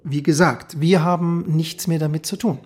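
Husky, officious police chief. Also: male voice, a little high, fairly even intonation, normal speed, darkish tone. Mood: dismissive. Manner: hemming, then stiff and dismissive. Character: evasive, officious East German police chief, feigning calm. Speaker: head of district police office. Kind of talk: radio drama dialogue.

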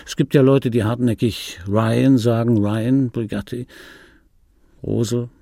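An actor playing a middle-aged film dubbing actor in a radio play, reminiscing in a wry, pedantic, digressive way with a gravelly, husky voice. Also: male voice, mid-range voice, steady tone, measured pace, warm tone, dry recording, clean studio sound. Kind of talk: radio play monologue, confiding first-person reminiscence. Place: dry radio drama studio.

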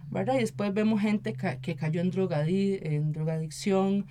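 A woman's voice: medium pitch, steady tone, moderate pace, dark tone, a little hiss or hum. An earnest woman with a Costa Rican accent speaking conversationally as an interview guest, often adding tag questions to seek agreement. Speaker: activist and municipal council member, interview guest.

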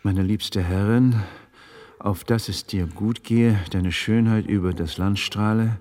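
An actor playing a shrewd, courteous gentleman of old Italy in a German radio play, with formal, measured delivery. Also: fairly low pitch, fairly even intonation. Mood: yearning, solemn.

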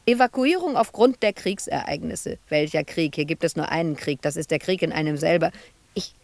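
A woman's voice: low-pitched, animated, brisk, no room sound. An actress playing a bitter, wounded woman sculptor shut away in an asylum, in a reproachful, volatile radio drama monologue. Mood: bitter, brooding. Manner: reproachful, volatile, dropping at times to hushed murmurs.

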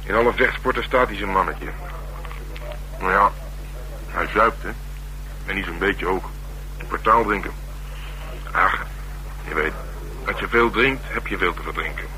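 An actor playing a streetwise, sardonic middle-aged police detective in a Dutch radio drama, talking bluntly and colloquially with dry teasing. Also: male voice, low voice, unhurried, pauses often, bright, forceful tone, heavily acted. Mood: matter-of-fact. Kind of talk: naturalistic radio drama dialogue. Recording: humming, noisy old radio broadcast.

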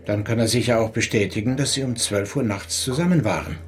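Man acting a police interrogator, composed, pointed, medium pitch, radio drama, light background noise. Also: close to an everyday voice. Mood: composed, probing. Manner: measured, pointed questioning. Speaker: actor.

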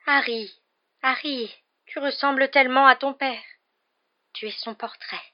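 A woman's voice: high voice, steady tone, unhurried, lo-fi sound, pitched above the performer's own voice. A woman voicing a cowardly, grovelling middle-aged man in a storybook, pleading and stammering in a whining voice. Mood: wheedling, pleading.

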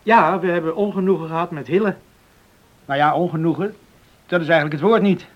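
An actor playing a hot-headed, indignant young drifter in love in a Dutch radio play. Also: male voice, fairly high pitch, a little fast, muffled recording, close to an everyday voice. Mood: aggrieved. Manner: indignant, bantering, protesting.

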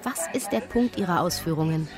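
Curt, low-pitched woman actress, loud music behind. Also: animated, brisk, close to an everyday voice. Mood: dry, dismissive. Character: curt, aloof working woman on a tram. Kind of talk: German radio play dialogue.

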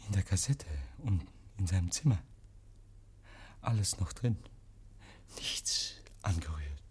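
An actor playing a deranged, guilt-ridden murderer in 1950s German radio drama, halting and repeating himself, given to breathless whispers and shrieks. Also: male voice, low-pitched, expressive, darkish tone, husky, heavily acted. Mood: haunted, insistent. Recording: noisy 1950s radio recording.

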